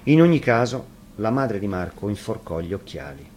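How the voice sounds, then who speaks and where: A man with a fairly low voice tells a fairy tale from a script. He reads as a storyteller would on the radio. There is slight noise under the recording. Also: very animated, normal speed, a little mellow. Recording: faint noise, live radio broadcast.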